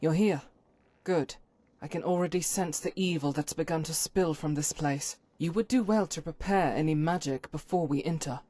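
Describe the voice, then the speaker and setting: A woman's voice: deep voice, normal speed, warm tone. Woman acting as a solemn, righteous holy warrior woman. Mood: grave, resolute. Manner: formal, archaic, earnest phrasing. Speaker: companion character in a game mod. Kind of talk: fantasy game character acting.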